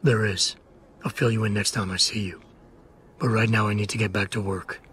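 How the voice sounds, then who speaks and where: A man acts a guarded investigator in a video game. His voice sits fairly low and he speaks in terse, restrained phrases with earnest care. A little rumbly noise sits underneath. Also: steady tone, fairly quick, fairly bright tone, lightly acted. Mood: businesslike, guarded. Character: terse, guarded, gruff hero investigator with buried tenderness.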